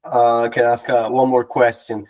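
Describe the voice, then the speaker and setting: A man's voice: mid-range voice, flat delivery, normal speed, darkish tone. Italian-accented man asking questions on a call. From Italy. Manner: hesitant, polite. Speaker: webinar attendee. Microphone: video call.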